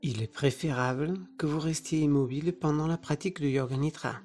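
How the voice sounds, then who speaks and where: A yoga nidra teacher guiding a relaxation meditation in short, soothing phrases with pauses between them. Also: mid-range voice, darkish tone.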